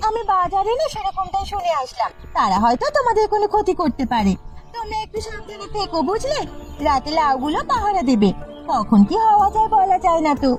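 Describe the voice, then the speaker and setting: A woman's voice: extremely high pitch, very animated, fairly quick, faint music behind. A woman voicing a concerned village woman in a cartoon, cautioning others to stay careful. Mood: warning.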